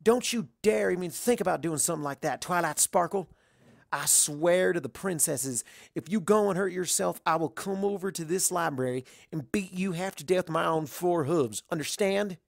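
A man voicing a blunt, protective country farm pony, a mare with a folksy, forceful manner. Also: high-pitched, moderate pace, vocal fry, no room sound, studio quality, voice pushed up. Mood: fierce, concerned.

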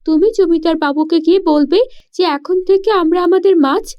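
A woman's voice: very high voice, steady tone, a little fast, dull audio, pitched above the performer's own voice. A woman voicing a poor, spirited village girl in a cartoon story, earnest and devoted to her family. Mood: indignant. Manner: earnest, protesting, questioning.

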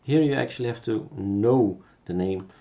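A man with a Belgian accent giving a casual, unscripted software tutorial, thinking aloud as he works. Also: on the low side, normal speed, gravelly, muffled recording, background noise.

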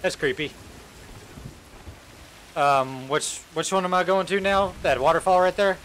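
A man's voice: sits high, measured pace, a bit bright, a little hiss or hum. A young man chatting casually as he streams a video game. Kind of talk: casual gameplay chat. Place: small room at home, indoors. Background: game storm and sea sounds.

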